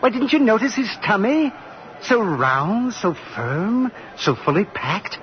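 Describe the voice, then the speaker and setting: High-pitched, gushing store executive. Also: male voice, very animated, takes it slowly, exaggerated voice. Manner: effusive, fussy. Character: excitable, gushing department store executive, male. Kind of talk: 1940s radio acting. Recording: muffled, noisy vintage radio broadcast.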